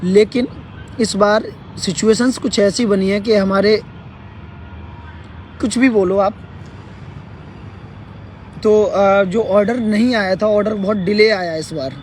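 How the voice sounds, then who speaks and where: Hoarse male live host, high pitched, halting, faint street noise behind. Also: fairly even intonation, darkish tone. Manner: clears throat often, halting with fillers. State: hoarse, sore throat. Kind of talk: casual live update. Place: sitting outdoors near a court.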